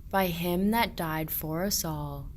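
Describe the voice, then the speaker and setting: A weary, henpecked shepherd played by a woman grumbles through old verse in a lowish voice. The delivery is slow and declamatory, in the manner of an amateur radio play. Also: a little hiss or hum. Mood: bitter grumbling.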